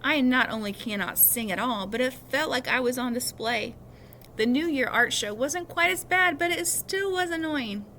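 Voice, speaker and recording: Female reader, bright and slightly high, for children's fiction read aloud; faint noise behind. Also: normal speed.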